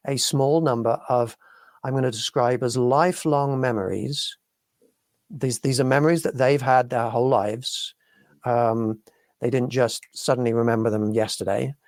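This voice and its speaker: A British man from the UK hosting a talk show, reasoning through ideas in a measured way. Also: mid-range voice, a little mellow, dry recording, clean studio sound. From United Kingdom. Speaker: podcast host. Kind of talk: casual talk show discussion.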